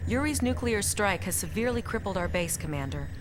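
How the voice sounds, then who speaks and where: An actress playing a composed military intelligence lieutenant, briefing crisply and formally. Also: female voice, mid-range voice, animated, fairly quick, natural voice. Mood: serious. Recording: clear background noise.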